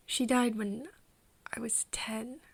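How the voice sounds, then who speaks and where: Soft breathy actress as a hesitant young woman. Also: on the high side, steady tone, no echo, full character voice. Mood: sad, hesitant. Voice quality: soft, breathy, often whispering. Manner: halting, hesitant confiding with pauses. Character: earnest, hesitant young woman confiding in a vampire friend. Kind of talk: ASMR roleplay, intimate one-sided conversation.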